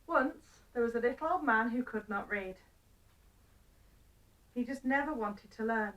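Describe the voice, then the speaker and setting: A woman with a fairly high voice narrates a children's story. She uses simple phrases and deliberate pauses, recorded slightly away from the microphone. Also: fairly bright tone, far from the mic, poor audio.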